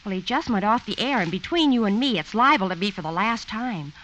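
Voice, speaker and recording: A woman's voice: mid-range voice, animated, fairly quick, a bit bright, close to an everyday voice, low-quality recording. A woman playing a chirpy, gossipy switchboard operator in a 1950s radio comedy. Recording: old radio recording with faint noise. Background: faint noise.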